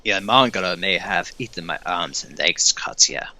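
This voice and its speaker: A man's voice: sits low, animated, normal speed, sharp tone, exaggerated voice. An actor playing a defiant, grandiose male villain in an audio drama.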